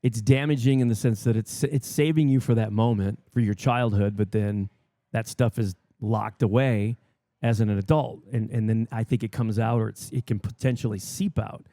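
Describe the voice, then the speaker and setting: A man's voice: fairly low pitch, steady tone, normal speed, dark tone, no room sound, studio quality. A middle-aged man co-hosting a casual podcast, explaining his thoughts earnestly and circling back over his words. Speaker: podcast co-host. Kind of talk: casual chat.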